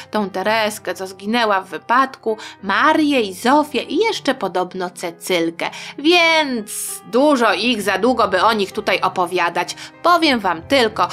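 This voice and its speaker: A woman's voice: medium pitch, fairly bright tone. A woman storyteller narrating history for young listeners in a chatty, colloquial, tongue-in-cheek way. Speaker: storyteller and host.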